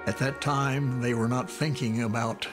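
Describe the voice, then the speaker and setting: An American man explaining in a documentary interview with deliberate, halting phrasing. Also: mid-range voice, steady tone, over music.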